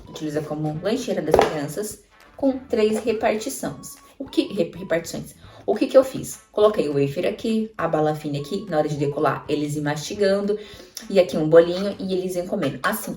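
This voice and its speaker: Chatty Brazilian mom in echoey room. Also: female voice, lowish voice. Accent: Brazilian Portuguese. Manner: chatty, addresses viewers directly with frequent tag questions. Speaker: mother vlogger. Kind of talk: casual show-and-tell tips. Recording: echoey room, mic at a distance, faint music.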